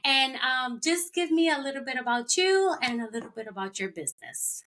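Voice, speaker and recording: Bubbly ny-born woman hosting a podcast, high bright voice, laughs a lot. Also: lively intonation. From New York. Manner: bubbly, laughs often, quick agreeing replies. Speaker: podcast host. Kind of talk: casual interview chat.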